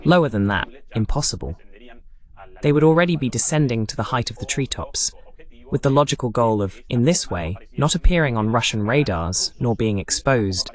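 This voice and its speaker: A man delivers live news commentary as a host. His pitch swings widely and animatedly from phrase to phrase, and he drops in pointed, sardonic asides as he explains. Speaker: host of a political commentary broadcast.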